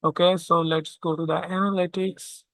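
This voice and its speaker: A tutorial instructor walking through software step by step, often checking in with a short questioning tag. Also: mid-range voice, little pitch movement, normal speed, no echo. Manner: checks understanding with frequent "okay?".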